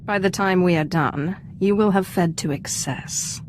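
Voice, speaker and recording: Woman as a cool, wry, low-voiced Sith apprentice. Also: a little slow, slight background noise, natural voice. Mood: dry, wry. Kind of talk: video game character acting.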